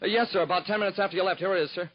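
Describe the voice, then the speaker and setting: A man's voice: high voice, racing, slight background noise, natural voice. An actor playing an earnest, nervous young man asking a detective for work, in 1940s radio acting style, on a dull lo-fi old radio recording. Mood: anxious. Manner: earnest, pleading. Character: eager young man job seeker, nervous. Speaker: secretary.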